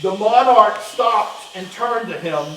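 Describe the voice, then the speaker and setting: A man's voice: high-pitched, slow, bright, forceful tone, exaggerated voice. A man playing a storyteller, giving dramatic, scripted storybook narration on stage. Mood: suspenseful. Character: male storyteller narrating an old winter tale. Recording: echoey hall, far mic, faint noise.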